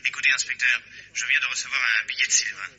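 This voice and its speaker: A man's voice: mid-range voice, steady tone, fast, close to an everyday voice. Man playing an anxious caller, noisy line. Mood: anxious, subdued. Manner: hesitant, worried. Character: anxious man pleading with police about his friend. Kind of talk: French radio mystery drama acting.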